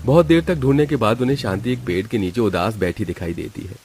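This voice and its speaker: A man's voice: lowish voice, fast, a little mellow, a little hiss or hum. A man narrating a scripted moral cartoon story as its storyteller. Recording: music bed behind the voice.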